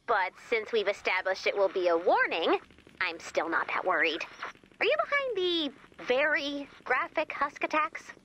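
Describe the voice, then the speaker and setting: A woman's voice: a little high, wildly swooping pitch, measured pace, bright, forceful tone, slight background noise, exaggerated voice, poor audio. A woman playing a wisecracking, eager, sarcastic female robot helper in a video game, quippy and playful with rambling asides. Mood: teasing. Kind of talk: scripted video game character dialogue.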